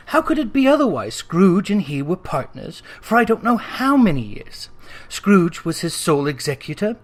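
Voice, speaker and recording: A narrator reading a classic literary story aloud in a dramatic, emphatic way, with deliberate phrasing. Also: mid-range voice. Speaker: audiobook reader.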